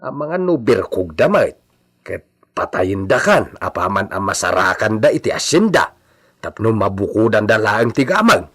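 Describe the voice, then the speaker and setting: A man's voice: lowish voice, sing-song, normal speed, a bit bright, husky, full character voice. A man playing a loyal servant in a radio drama, earnestly and deferentially cautioning his master. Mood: concerned.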